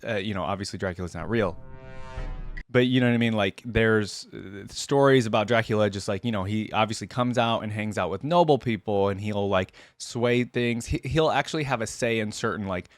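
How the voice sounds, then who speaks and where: A man's voice: fairly low pitch, moderate pace, no room sound, soft background music. A man hosting a reaction video, moving between informal, rambling commentary and reading text aloud. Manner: informal, rambling, thinking out loud.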